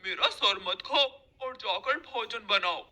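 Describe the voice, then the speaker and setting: Woman voicing a lazy grumbling male cartoon crow, sharp. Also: mid-range voice, a little slow. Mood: defiant. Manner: whining, self-justifying. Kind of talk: cartoon story voice acting.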